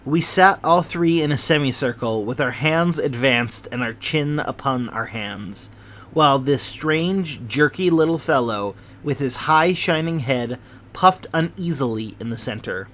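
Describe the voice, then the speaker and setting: A man narrating a classic novel as an audiobook in a measured, low-key manner. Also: expressive, a bit bright, slight background noise.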